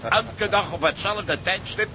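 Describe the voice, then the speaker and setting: An actor playing a boisterous, mischievous sailor in a radio drama. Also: male voice, sits high, a little slow, noisy background. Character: boisterous, mischievous sailor who loves a prank.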